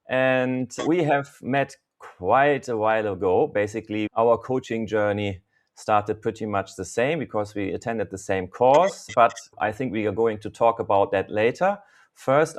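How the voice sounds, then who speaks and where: A man with a German accent, a native German speaker, hosting an interview podcast. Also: mid-range voice. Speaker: podcast host, coach.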